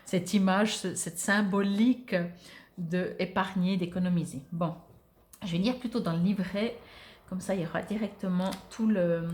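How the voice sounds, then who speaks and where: A woman's voice: mid-range voice, darkish tone, slight background noise. Woman giving a conversational, hesitant card reading. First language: French. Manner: hesitant, searching for words, conversational. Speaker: oracle card reader. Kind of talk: informal spiritual card reading to viewers.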